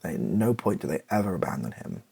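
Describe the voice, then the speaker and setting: Low, gravelly British man thinking aloud. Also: big pitch swings, dark tone. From Britain. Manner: rambling, self-deprecating, halting as he thinks. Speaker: YouTuber, ASMR video creator. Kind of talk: improvised ASMR writing session, thinking aloud. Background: computer keyboard typing and clicks.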